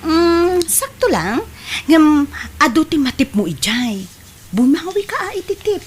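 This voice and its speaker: A woman's voice: sits high, big pitch swings, a little slow, a little hiss or hum. A woman with an Ilocano Filipino accent playing a breezy, worldly young beer-house waitress and friend in an audio drama. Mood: coaxing.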